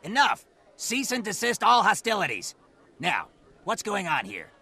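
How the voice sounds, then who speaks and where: Gravelly goblin official, highish forceful voice, dismissive, officious, video game, faint music. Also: male voice, wildly swooping pitch, a little slow, exaggerated voice. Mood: commanding. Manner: officious, commanding, patronising. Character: officious, dismissive male asura council official, small goblin-like. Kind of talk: video game cutscene acting.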